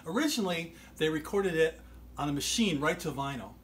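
A man's voice: a little high, lively intonation, fairly quick. A man in his forties talking casually to camera as the host, recorded in a small furnished room at home. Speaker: host, band musician. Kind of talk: casual talk to camera introducing old tapes. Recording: noticeable background noise.

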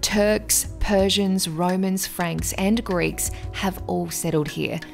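A woman's voice: medium pitch, fairly even intonation, takes it slowly, gravelly, darkish tone, soft background music. A young Australian woman reading scripted voice-over narration for a travel vlog. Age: young adult. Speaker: travel vlogger.

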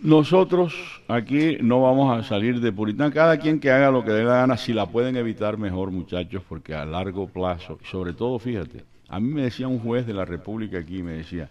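A man's voice: on the low side, fairly quick, hardly any pauses, highs cut off. An older man, chatting and joking as a radio host in a studio, speaking into a studio mic. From Colombia. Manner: animated, joking, rambling. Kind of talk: casual radio chat, storytelling. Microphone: studio mic with headphones.

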